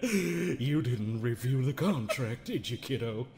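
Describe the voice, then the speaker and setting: A man's voice: medium pitch, lively intonation, slow pace, creaky, a little mellow, slight background noise. A man voicing an unhinged, sardonic therapist with folksy menace, theatrical and mocking, in an improvised comedy dub of a horror game. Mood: sly, amused.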